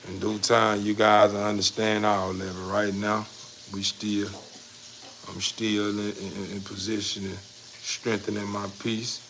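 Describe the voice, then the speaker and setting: Low-voiced American man, flat and rambling. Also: measured pace, noisy background. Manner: folksy, repetitive catchphrases, encouraging. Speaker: livestream host of a dog breeder channel. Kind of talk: casual rambling livestream talk.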